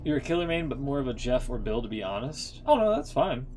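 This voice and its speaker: A man's voice: medium pitch, big pitch swings, quick pace, darkish tone. A man chatting casually as a streamer, reacting in a rambling, sweary way while searching for words. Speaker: game streamer. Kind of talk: casual reaction commentary and chat. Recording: music behind the voice.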